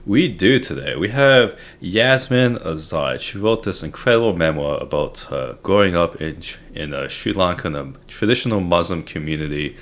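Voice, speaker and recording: A man's voice: deep voice, lively intonation, moderate pace, few pauses, a bit bright. A man hosting an interview podcast, talking casually and hesitantly with many fillers. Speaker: podcast host.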